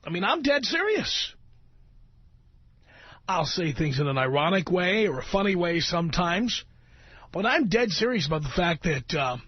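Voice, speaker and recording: A middle-aged American man hosting talk radio with an opinionated monologue, speaking in an emphatic, sardonic way with deliberate phrasing. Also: sits high, slightly rough. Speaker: radio talk show host. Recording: dull, roomy radio recording with music.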